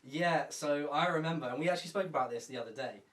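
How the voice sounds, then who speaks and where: A man's voice: fairly high pitch, fast, fairly bright tone, recorded from a distance. A young man co-hosting a casual podcast, chatty and self-mocking, rambling with lots of fillers. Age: young adult. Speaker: podcast co-host. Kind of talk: casual chat. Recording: roomy, from off-mic.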